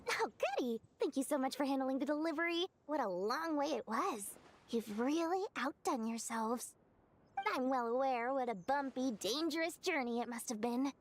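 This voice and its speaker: A woman's voice: high voice, normal speed. A woman voicing a small, theatrical, money-minded female merchant in a video game, gushing and wheedling with exaggerated delivery.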